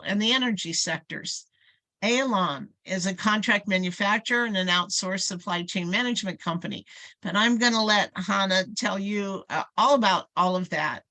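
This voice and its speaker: A woman's voice: mid-range voice, sharp tone, low-quality recording. A woman hosting an interview podcast, introducing and questioning a guest. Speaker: podcast host.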